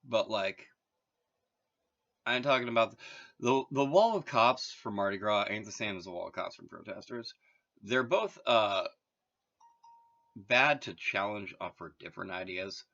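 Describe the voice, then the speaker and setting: Low-voiced guy from massachusetts rambling on, lots of likes and ums, kinda muffled lo-fi audio. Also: vocal fry, pushed and bright. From Massachusetts, USA. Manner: meandering, heavy filler words, wry. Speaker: vlogger. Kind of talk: rambling casual monologue.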